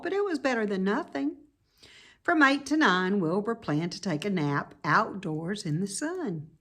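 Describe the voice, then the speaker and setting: A woman reading a children's story aloud as narrator, with deliberate, dramatic emphasis. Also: mid-range voice, a bit bright. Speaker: storybook reader.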